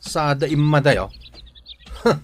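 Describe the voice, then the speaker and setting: Man playing a lively, blustery village tease. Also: a little high, slow pace, a little hiss or hum. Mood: teasing, grumbling. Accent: Ilocano. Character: teasing, blustery village man in comedy squabbles. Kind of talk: Ilocano comedy radio drama acting.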